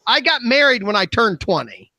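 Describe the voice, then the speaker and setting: An American man in his fifties chatting casually at a round-table talk show, with an animated, opinionated manner. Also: extremely high pitch, fairly quick, creaky, no room sound. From Midwest United States. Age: 50s.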